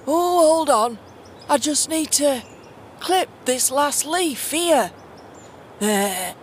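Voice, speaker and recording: A woman's voice: high-pitched, lively intonation, extremely slow, a little hiss or hum, full character voice. An actress playing an absent-minded elf servant girl, dreamy and distracted, muttering to herself in a fantasy audio drama.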